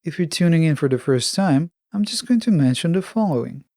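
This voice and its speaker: A man hosting a self-help podcast, delivering a scripted monologue in a measured, instructive manner. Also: a little high, expressive, vocal fry, mellow, dry recording, studio quality. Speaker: podcast host.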